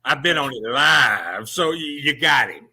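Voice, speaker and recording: A man hosting a radio show, talking in a folksy, joking way with halting restarts. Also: medium pitch, animated, sharp tone. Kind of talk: casual on-air interview.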